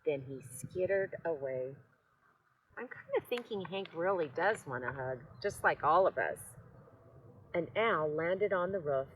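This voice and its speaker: An older woman reads to children in a fairly low voice. She takes her time, gently stopping for asides and questions. There is faint noise in the recording. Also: animated, poor audio. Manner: gentle, unhurried, addressing children. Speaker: children's storytime reader. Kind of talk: read-aloud storytelling with asides to children.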